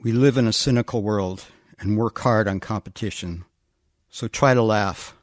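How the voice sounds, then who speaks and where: An actor playing an earnest, lovesick husband, pleading deliberately and sincerely in a radio comedy. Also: male voice, lowish voice, no echo, studio quality, natural voice. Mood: earnest, tender. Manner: deliberate, sincere delivery of absurd lines. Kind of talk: radio comedy romantic monologue.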